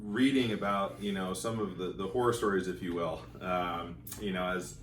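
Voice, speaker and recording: Middle-aged man, low voice, hesitant testimonial, recorded from a distance in an echoey room. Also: steady tone, a little hiss or hum. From Montana, United States. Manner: halting, many fillers and repeated words. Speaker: school teacher sharing his marriage story. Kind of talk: casual testimonial. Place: home in California. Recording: roomy echo, voice from a distance.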